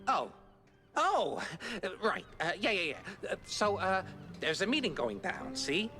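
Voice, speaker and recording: A small-time cartoon snitch with a high, swooping voice. He is obsequious and shifty, stammering his way through 1930s gangster patter over loud music. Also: very animated, unhurried, exaggerated voice. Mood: eager, shifty. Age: young adult. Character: fawning, shifty small-time informant, cartoon rodent around thirty. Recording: music behind the voice.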